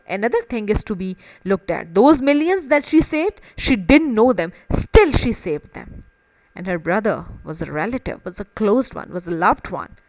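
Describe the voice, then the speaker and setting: A woman's voice: mid-range voice, dry recording, poor audio. A woman literature teacher explaining a play in an emphatic way, often repeating and rephrasing phrases to stress them.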